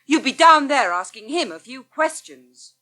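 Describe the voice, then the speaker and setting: An actress playing a blunt, indignant and protective young flatmate in a naturalistic radio soap, sharp-tongued. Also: female voice, sits high, a little slow. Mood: indignant, accusing.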